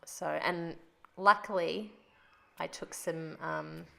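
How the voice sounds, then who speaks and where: A chatty woman narrates a craft project in a loose, rambling voice-over. Her voice sits a little low with a gravelly fry, and she sounds stuffed up from a cold. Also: fairly even intonation, slow, bright, forceful tone. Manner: chatty and rambling, laughs often. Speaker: craft video host.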